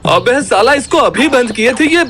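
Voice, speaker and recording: A man's voice: very high-pitched, lively intonation, normal speed, fairly bright tone, faint music behind. A man playing an exasperated young buddy in a comedy audio drama, snappish and grumbling. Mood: irritated.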